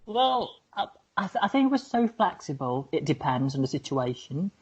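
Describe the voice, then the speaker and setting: A woman's voice: low voice, big pitch swings, normal speed, slightly rough, dull audio. A woman with an Indonesian accent, a co-host and former English mentor, chatting casually and often repeating words as she searches for phrasing. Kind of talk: casual podcast chat.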